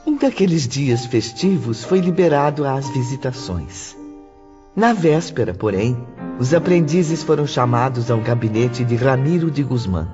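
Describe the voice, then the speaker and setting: A man's voice: mid-range voice, expressive, a little slow. A man reading scripted audiobook narration in a formal, literary manner. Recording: long reverb, dull sound, music behind.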